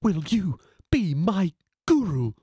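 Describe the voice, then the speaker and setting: A storyteller voicing a hurried, self-important male philosopher who shouts and then turns humble, in a read-aloud story. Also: medium pitch, animated, dry recording, clean studio sound, pitched above the performer's own voice, natural voice. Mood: humbled awe.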